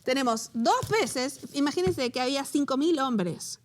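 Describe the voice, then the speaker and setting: A high-pitched woman teaching from the Bible in relaxed conversation. She talks with a lot of feeling, laughs easily, and turns tender when she recalls her own story. She grew up in Latin America. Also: normal speed, a little hiss or hum. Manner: animated, laughs often, emotional when recalling personal story. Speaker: co-host of a Bible study show.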